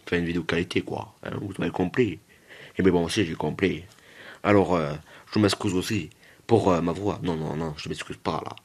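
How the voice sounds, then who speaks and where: A man's voice: sits low, fairly even intonation, brisk, creaky, a little mellow, breathy edge. A man hosts a gaming video. He talks casually, circling back over his own words and wandering off into joking asides with the viewers. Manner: repeats himself and digresses, joking with viewers. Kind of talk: casual, rambling gameplay commentary.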